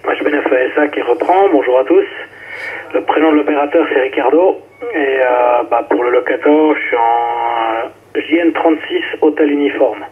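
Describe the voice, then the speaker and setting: A man's voice: medium pitch, normal speed. A man from Switzerland giving a hesitant, informal check-in as an amateur radio operator. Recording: narrowband, phone-like ham radio relay.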